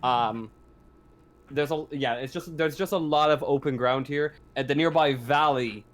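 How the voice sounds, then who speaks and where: A man's voice: medium pitch, measured pace. A man running a tabletop game as game master, improvising his narration and often stammering or revising his phrases as he goes.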